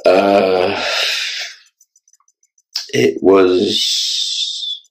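Slow, deep-voiced tired Irishman. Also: flat delivery, creaky, poor audio. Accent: Irish, Dublin. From Ireland. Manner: rambling, halting, self-mocking. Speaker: children's entertainer and vlogger. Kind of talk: casual talk to camera. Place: small room indoors, in an office chair. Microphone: phone camera held close.

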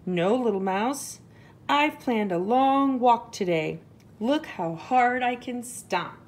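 A woman's voice: a little high, expressive, slow pace, pitched above the performer's own voice. A woman voicing a big, self-assured elephant in a children's storybook, declaring things emphatically. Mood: firm, proud.

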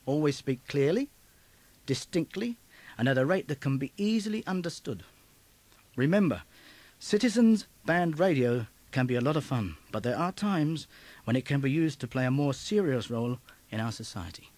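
A man narrating an instructional tape from a script in a clear, emphatic, instructive way. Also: mid-range voice, very animated, normal speed, a little mellow, slight background noise.

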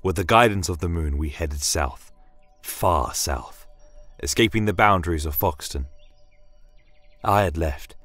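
A man narrates a fantasy story in a low voice. His delivery is solemn, measured and scripted, recorded dry with faint music behind it. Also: fairly bright tone, no room sound. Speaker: storyteller narrating in first person.

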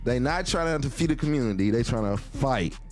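A man's voice: mid-range voice, expressive, a little fast, a little mellow, soft background music. A man from Kentucky with a Kentucky accent, hosting a casual podcast chat in a living-room studio, slangy and laughing easily. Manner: loose, laughing, slangy, cursing. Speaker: podcast host. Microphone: desk mic on arm with headphones.

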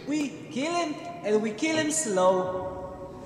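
Very high-pitched sinister killer. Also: male voice, lively intonation, slow pace, soft background music, full character voice. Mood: gleefully murderous. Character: eager, murderous male asylum twin killer. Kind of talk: amateur horror game voice lines. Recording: echoey hall, noisy, distant.

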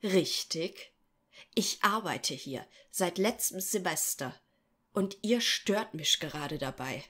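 An actress playing a snippy, irritated young student assistant with a husky voice in a parody radio play. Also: female voice, fairly low pitch, animated, slow, fairly bright tone, exaggerated voice. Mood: annoyed.

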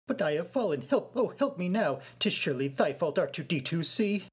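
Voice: mid-range voice, animated, a little fast, breathy edge. An actor playing a fretful, fussy protocol droid, complaining in archaic speech in an audio drama. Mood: panicked.

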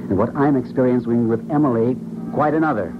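A man's voice: medium pitch, brisk, natural voice. Guy doing haunted stubborn scientist in old 70s radio drama, muffled recording, music underneath. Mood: defensive, heated. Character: strained, stubborn scientist haunted by his dead wife. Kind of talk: 1970s radio drama acting. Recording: dull 1970s radio recording, music behind.

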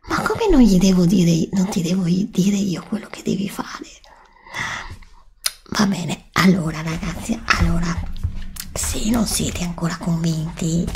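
A woman doing a soft-spoken, often whispered ASMR roleplay as a travel agent, breathy and hesitant, close to the microphone. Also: lowish voice, lively intonation, a little slow, slight background noise. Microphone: desktop USB microphone, close.

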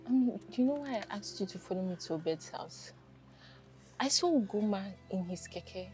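A woman's voice: medium pitch, expressive, moderate pace, darkish tone, soft background music, natural voice, poor audio. Woman playing a soothing loyal friend. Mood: explaining urgent. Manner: soothing, repetitive reassurance. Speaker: comforting friend. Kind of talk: film drama acting.

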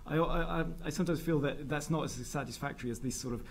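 A man's voice: on the high side, fast talker, a little hiss or hum. A man who teaches design, speaking hesitantly on a panel with many fillers and restarts. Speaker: design lecturer. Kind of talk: panel discussion. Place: large dark auditorium stage.

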